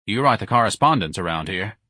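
A man voicing an impatient, gruff businessman husband in an audiobook. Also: on the low side, lively intonation, fairly quick, bright, forceful tone, dry recording, studio quality, heavily acted. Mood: accusing. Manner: impatient, blunt. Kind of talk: audiobook character voice.

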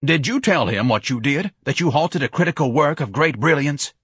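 A pompous, melodramatic grown son from an audiobook, acted out by a man. He declaims haughtily in a husky voice that swings widely in pitch, dry and close. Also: lively intonation, normal speed, fairly bright tone, dry recording, exaggerated voice. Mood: indignant, scornful. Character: pompous, indignant, self-pitying grown son, grandiose and melodramatic. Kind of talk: audiobook character voice.

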